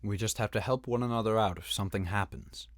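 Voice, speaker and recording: A man's voice: on the low side, fast, no echo, clean studio sound, lightly acted. A man playing an earnest, dutiful young knight in a game fan dub, speaking in a serious, slightly formal manner. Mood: reassuring. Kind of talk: acted game dialogue.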